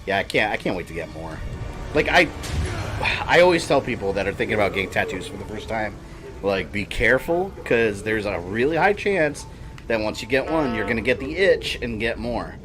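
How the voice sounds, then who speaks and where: A man's voice: on the low side, big pitch swings, a little fast, music in the background. A man in his thirties chatting casually and rambling while he streams a video game. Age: 30s. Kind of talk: casual chat while gaming.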